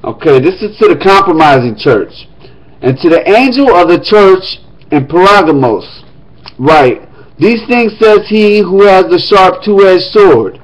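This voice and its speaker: A man's voice: high voice, expressive, unhurried, poor audio. A man reading the Bible aloud in an emphatic, declamatory manner, with casual touches. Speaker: scripture reader.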